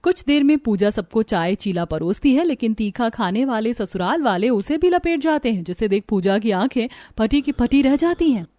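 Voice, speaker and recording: ऊँचे सुर वाली महिला कथावाचक. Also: female voice, a little high, lively intonation, normal speed, hardly any pauses, mellow, no room sound. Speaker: storyteller. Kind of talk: scripted Hindi cartoon story narration.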